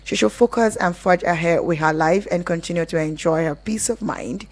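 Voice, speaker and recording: A Nigerian-accented woman hosts a live radio phone-in. Her voice sits a little low for a woman, and she speaks as a presenter handling listeners' messages and calls. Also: normal speed. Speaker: radio call-in show host.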